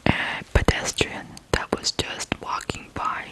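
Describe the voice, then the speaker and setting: Slow, whispering ASMR storyteller from Ukraine. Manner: hushed whispering, measured, deliberate phrasing. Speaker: ASMR true crime host.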